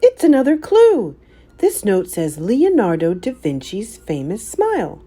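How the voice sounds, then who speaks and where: Brainy young cartoon detective girl voiced by a woman, keen and earnest, lively intonation, children's story. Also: medium pitch, measured pace, slightly rough, full character voice. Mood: excited.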